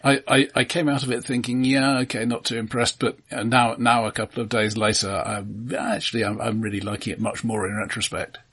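A man co-hosting a film podcast, talking casually in a measured way with dry, wry humour. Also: mid-range voice, little pitch movement, a bit bright, husky.

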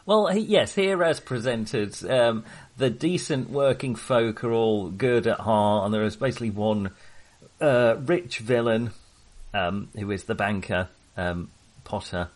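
A man co-hosting a casual film discussion, speaking hesitantly and often halting mid-thought to start again. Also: lowish voice. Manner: hesitant, halting, trailing into half-finished thoughts. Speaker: co-host of a film discussion show.

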